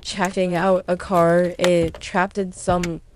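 A woman playing an anxious, sarcastic young woman in an animated roleplay drama, often speaking hesitantly. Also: fairly low pitch, slow, noisy background. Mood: sarcastic, annoyed.

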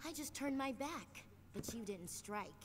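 A woman's voice: a little high, animated, measured pace. An actress playing a hot-headed young female assassin who talks in an intense, clipped way and swears, in game audio. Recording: game audio under music.

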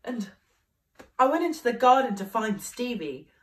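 A young man playing an excitable young man who tells a rambling story about a party, full of "like". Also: very high-pitched, normal speed, exaggerated voice. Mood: wistful. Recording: voice picked up from a distance.

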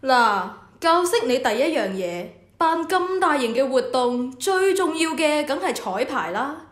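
High-voiced, authoritative temple official played by a woman. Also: big pitch swings, a little slow, echoey room. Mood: self-assured. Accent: Hong Kong Cantonese. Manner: authoritative, instructive. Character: official in charge of the Temple of Heaven, instructive superior. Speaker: voice actor. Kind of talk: scripted educational radio drama.

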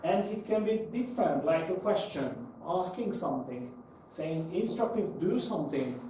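A man's voice: fairly high pitch, lively intonation, moderate pace, mellow. A man presenting a live technical lecture, explaining by posing questions and answering them, with non-native English phrasing. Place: small indoor meetup room with seated audience. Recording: echoey room, distant mic, faint noise.

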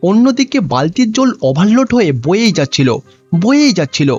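Casting: male cartoon story narrator, high pitch, lively melodic read, lo-fi track. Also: brisk, soft background music.

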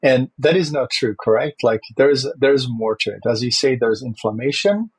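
Husky-voiced man. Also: mid-range voice, animated, normal speed, rough recording. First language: Czech. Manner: thoughtful, with frequent fillers and restarts. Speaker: host. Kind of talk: conversational interview.

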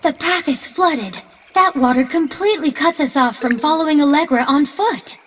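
A woman's voice: high-pitched, normal speed, a bit bright. A woman voicing a polite, analytical AI assistant in a video game, speaking in precise, formal, scientific diction. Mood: matter-of-fact. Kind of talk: scripted video game dialogue. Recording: long reverb, faint background noise.

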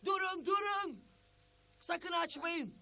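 A man's voice: extremely high pitch, slow pace, gravelly, sharp tone, heavily acted. A man voicing a stern but caring guardian in a cartoon, speaking in an admonishing, emphatic way. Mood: alarmed, scolding. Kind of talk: cartoon voice acting.

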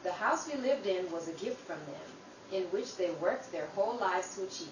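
An earnest youngest sister from a Depression-era radio play, acted by a woman, speaks at a middling pitch like a storyteller. The recording is roomy and off the mic, with clear noise behind her. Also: expressive, normal speed, natural voice. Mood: earnest, reflective. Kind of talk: old time radio drama acting. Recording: distant, echoey room with clear background noise.

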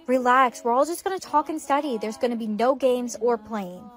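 A light, high-pitched teenage girl, played by a woman, chatting casually in a comedic skit. The manner is breezy and friendly, the schoolfriend type. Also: normal speed, faint music behind, natural voice. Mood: teasing.

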